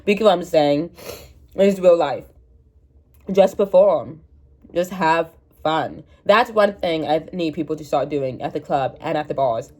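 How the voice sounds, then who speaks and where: Congested young woman rambling to camera. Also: measured pace. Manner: rambling, candid, laughs at herself, swings into loud high mock voices. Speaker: vlogger. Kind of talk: casual confessional chat to camera.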